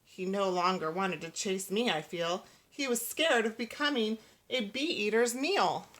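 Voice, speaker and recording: A woman reads a children's storybook aloud in the manner of a teacher. Her delivery is expressive and follows the bounce of rhyming verse, with a voice at an ordinary middle pitch. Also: measured pace.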